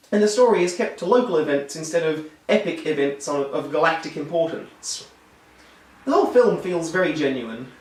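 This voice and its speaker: A man with a high voice that has gone hoarse delivers a wry, upbeat film review. He sounds set back from the microphone, and the room adds a noticeable echo. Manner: wry, enthusiastic critique. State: hoarse, losing his voice. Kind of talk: scripted video review. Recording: roomy echo, distant mic.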